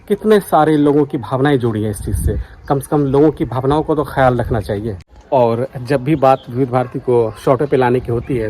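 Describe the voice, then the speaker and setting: A man's voice: medium pitch, warm tone, a little hiss or hum. An Indian man speaking casually to his viewers as a radio hobbyist vlogger, earnest and insistent in how he makes his points. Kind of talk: casual opinion vlog addressed to viewers.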